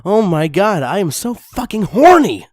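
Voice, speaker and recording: A man reading a fanfiction story aloud as channel host, excitable and crude in his asides. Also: sits high, a little slow, dry recording, clean studio sound. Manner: excitable and crude in the intro, then steady reading.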